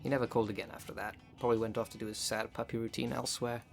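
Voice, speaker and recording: A man's voice: mid-range voice, little pitch movement, rapid-fire, creaky, over loud music, close to an everyday voice. A man playing a jaded, sardonic thirty-two-year-old witch in an ASMR roleplay, talking in a dry, sarcastic, conversational way. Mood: wry, dismissive. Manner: dry, sarcastic, sweary, sighing.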